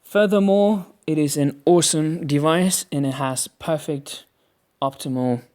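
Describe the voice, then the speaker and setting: A man narrating an unboxing product review in a measured, explanatory way, phrase by phrase. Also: on the high side, darkish tone. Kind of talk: unboxing review narration over hand-held footage.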